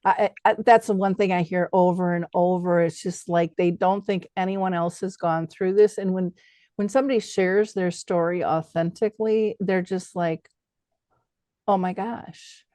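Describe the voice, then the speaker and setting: A woman's voice: fairly low pitch, steady tone, moderate pace, warm tone, no room sound. A woman hosting a casual interview, speaking in an affirming, encouraging, conversational way. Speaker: interview host.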